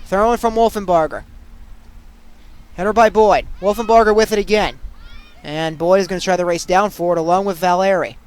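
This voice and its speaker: A young man doing excitable live play-by-play sports commentary as a student sportscaster. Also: high-pitched, brisk, clean tone. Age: young adult. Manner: excitable, bursting into shouts on big plays.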